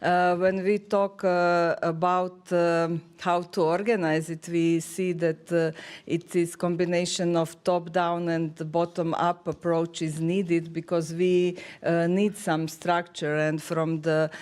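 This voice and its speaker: A woman with a Croatian accent speaking unscripted on a panel, in very halting, hesitant phrases. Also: lowish voice, little pitch movement, a little mellow, clean tone. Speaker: panel speaker for a European committee.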